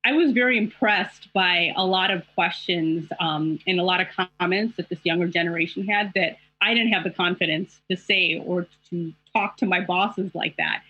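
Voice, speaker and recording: A middle-aged woman journalist talks candidly on a video call, pausing with frequent fillers as she reflects. Her voice sits a bit low and sounds completely dry. The call audio is dull and lo-fi. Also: sharp tone, no echo. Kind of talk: panel discussion answer.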